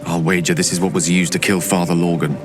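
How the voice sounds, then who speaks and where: A man's voice: sits low, level tone, fast talker, over music, lightly acted. A man playing a dry-witted, musing adventurer with morbid humour in a video game.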